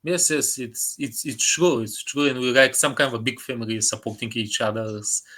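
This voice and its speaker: A man's voice: medium pitch, moderate pace, bright, forceful tone. A man with a Bulgarian accent chatting casually as an interview guest, often repeating short phrases for emphasis. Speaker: guest, indie filmmaker.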